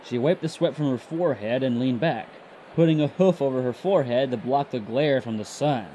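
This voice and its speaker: A man's voice: medium pitch, a little fast, mellow, slight background noise, low-quality recording. A man narrating a scripted story between lines of character dialogue.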